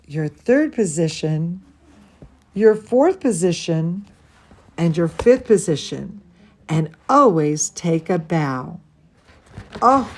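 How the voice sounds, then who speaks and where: A woman reading a bedtime story to children as their dance teacher, theatrical and gushing, with drawn-out emphasis. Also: medium pitch, a little mellow.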